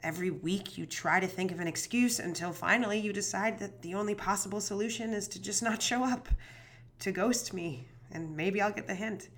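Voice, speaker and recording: A woman's voice: mid-range voice, a little fast, fairly bright tone. An actress playing a young woman true crime podcast host, talking in an anxious, rambling, swearing, self-deprecating way. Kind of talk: audio drama monologue.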